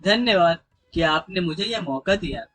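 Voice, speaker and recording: A performer voicing a poor, humble village seamstress mother, gentle and earnest, in a moral story. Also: medium pitch, lively intonation, normal speed, soft background music, natural voice. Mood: grateful.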